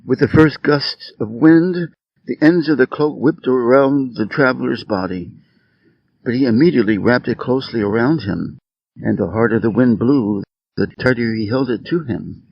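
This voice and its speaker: Male story reader, mid-pitched, measured scripted fable narration for learners, very dry, slightly dull recording. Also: vocal fry, husky, no room sound.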